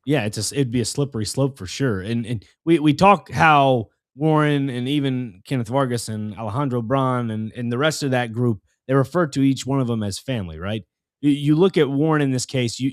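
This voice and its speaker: A man's voice: mid-range voice, a little fast, no echo, clean studio sound. A man co-hosting a sports talk show, giving conversational commentary in an earnest, explanatory way and often restarting his phrases.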